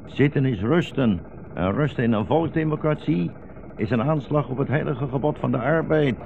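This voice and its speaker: A man's voice: mid-range voice, normal speed, vocal fry, natural voice. Old blind rabbi, played by a man, sardonic and weary. Radio play; muffled, noisy vintage sound. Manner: ironic, rhetorical. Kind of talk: 1960s radio play acting.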